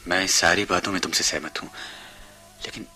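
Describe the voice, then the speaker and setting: An earnest young suitor in a radio play, speaking with devotion and slight hesitation. The voice is fairly low yet strikingly bright, with faint music around it. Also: male voice, fairly even intonation, moderate pace. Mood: earnest, concerned. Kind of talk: Hindi radio play acting.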